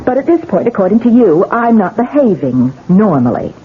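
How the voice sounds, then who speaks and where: A woman's voice: medium pitch, very animated, normal speed, close to an everyday voice. A woman playing a newlywed wife who insists to a doctor and a police lieutenant that she is sane. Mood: defensive. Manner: tense, sharp, pushes back. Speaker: Mrs. Renard, patient in hospital. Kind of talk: 1970s radio drama acting. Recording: tinny lo-fi 1970s radio broadcast with hiss.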